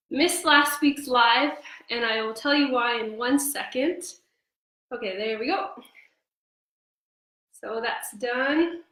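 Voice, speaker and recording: A young woman with a slightly hoarse voice, chatting casually on a live cooking stream with frequent ums. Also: on the high side, pushed and bright. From Canada. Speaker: host of a cooking livestream. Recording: echoey room.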